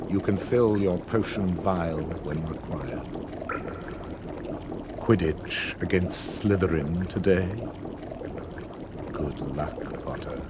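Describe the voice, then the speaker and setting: An actor playing a stern, sneering wizard schoolteacher in a video game, speaking slowly and sardonically. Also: male voice, sits low, heavy background noise. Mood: cold, instructive. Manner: slow, sardonic, deliberate delivery. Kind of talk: video game cutscene acting.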